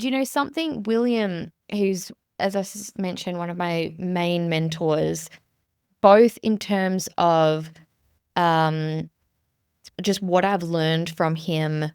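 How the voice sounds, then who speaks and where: A woman's voice: lowish voice, vocal fry, smooth, dry recording, clean studio sound. A woman from rural South Australia with an Australian accent, hosting a casual solo podcast in an earnest, chatty way, full of fillers and restarts. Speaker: podcast host and business coach.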